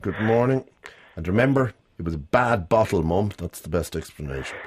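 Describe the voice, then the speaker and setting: A man's voice: low-pitched, moderate pace, a little hiss or hum. An Irish man hosting a radio show in a studio, chatting casually and teasing his guests with jokes. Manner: teasing, jokey, lively.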